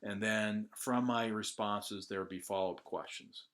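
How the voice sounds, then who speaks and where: An American man talking casually about his own job search, with plenty of "uh" and "um" pauses. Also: fairly low pitch, fairly even intonation, a bit bright. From United States. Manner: conversational, with frequent "uh" and "um" pauses. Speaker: job candidate sharing a testimonial. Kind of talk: casual first-person testimonial.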